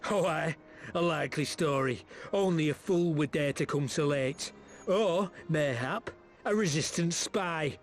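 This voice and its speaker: An actor playing a bullying, bitter imperial soldier in a video game, haughty and sneering. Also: male voice, fairly high pitch, unhurried, faint music behind. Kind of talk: video game cutscene voice acting.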